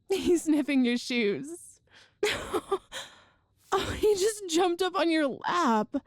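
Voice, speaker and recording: A woman's voice: very high-pitched, sing-song, slow, dry recording, clean studio sound, heavily acted. A woman playing an affectionate, playful girlfriend from a ghost family in an ASMR audio roleplay, chatty and tender. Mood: affectionate, explaining. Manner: chatty and tender, with soft laughs and sighs.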